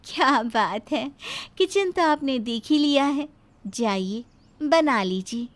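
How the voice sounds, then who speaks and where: A woman's voice: high-pitched, lively intonation, takes it slowly. A woman playing a cheeky, lazy young daughter-in-law in a moral story, talking with exaggerated mock politeness. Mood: mock-polite. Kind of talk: scripted Hindi moral story acting.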